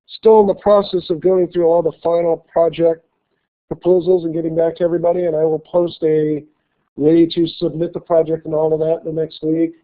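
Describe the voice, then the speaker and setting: A male college instructor giving a classroom lecture with a slight cold, talking haltingly with many fillers and restarts. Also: on the high side. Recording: tinny phone-like lecture recording.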